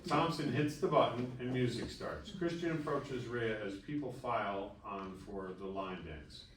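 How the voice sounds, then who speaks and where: A man's voice: mid-range voice, unhurried. A man reading scripted stage directions as narrator at a live table read. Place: live event room before an audience. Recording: echoey room, distant mic, faint noise.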